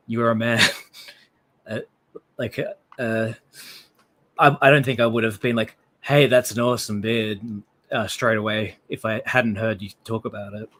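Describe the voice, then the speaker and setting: A man's voice: mid-range voice, fairly bright tone. A man with an Australian accent hosting a live stream, chatting casually with many fillers and restarts. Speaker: livestream host.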